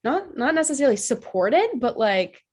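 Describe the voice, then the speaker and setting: A woman's voice: sits high, big pitch swings, moderate pace, a little mellow, breathy edge. A woman in her twenties from Ohio, a podcast guest, slightly nasal from allergies, talking in an upbeat, bubbly way. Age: 20s. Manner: upbeat, bubbly, frequent "like" fillers, laughs easily. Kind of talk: casual interview chat.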